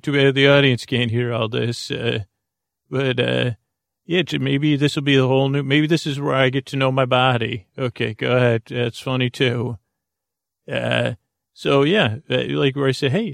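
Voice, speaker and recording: A man with a gravelly, fry-edged voice at a middle pitch, recorded close and dry with no room sound. He hosts a podcast and rambles through its intro, doubling back on himself and trading deadpan jokes. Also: no room sound. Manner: meandering, self-interrupting, playful deadpan humor. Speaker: podcast host.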